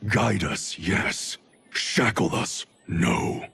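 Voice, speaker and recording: Cold, deep-voiced ninja grandmaster. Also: male voice, very slow, creaky, background noise, exaggerated voice. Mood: impatient, scornful. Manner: curt, scornful, authoritative. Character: proud, cold, domineering ninja clan grandmaster. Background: cinematic music.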